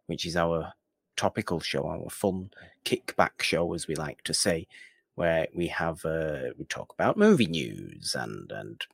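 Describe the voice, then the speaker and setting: Man, low voice, casual movie chat, podcast co-host, emphatic repeated phrasing, very dry sound. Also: sing-song, a little slow, vocal fry, husky, dry recording.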